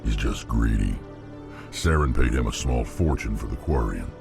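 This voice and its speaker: Game alien bruiser: extremely low, heavy rasp, blunt menace, music behind. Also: male voice, expressive, normal speed, heavily acted. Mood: grim, measured. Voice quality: very deep gravelly growl. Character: huge gruff alien mercenary, menacing and blunt.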